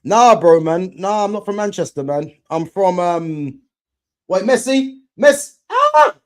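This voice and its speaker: A young man from England hosts a live football watch-along, talking loosely to his viewers with plenty of slang and restarts. His voice sits fairly high for a man. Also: big pitch swings, unhurried. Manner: chatty, slangy, talks to chat, restarts often. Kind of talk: casual football chat with viewers.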